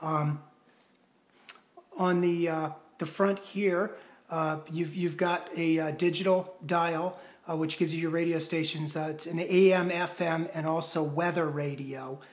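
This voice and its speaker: A man giving a casual product demonstration in a measured, explanatory and somewhat hesitant way. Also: a little high, fairly even intonation. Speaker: product reviewer.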